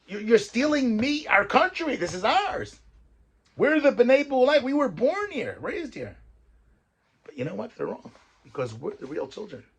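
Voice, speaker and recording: A man, a rabbi, giving a weekly Torah talk, reading verses and explaining them in lively English. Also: very high-pitched, a little slow, slightly rough. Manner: mixes Hebrew verses with English explanation, animated.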